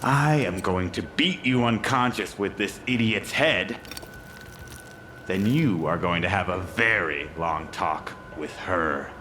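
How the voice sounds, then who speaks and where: A cruel, possessed villain in an audio drama. He talks very slowly in a low voice, halting and obsessive in his menace. Clear noise sits behind him. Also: pushed and bright, heavily acted. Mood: menacing. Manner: halting, obsessive repetition of words.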